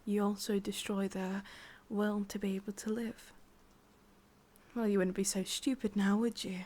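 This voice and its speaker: A woman with an English accent voicing a sorrowful, obsessive witch in an intimate ASMR roleplay, speaking softly in halting, confiding whispers. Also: medium pitch, flat delivery, lightly acted. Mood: solemn, warning. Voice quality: soft, breathy, mostly whispered. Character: sorrowful, obsessive female witch, tender yet menacing.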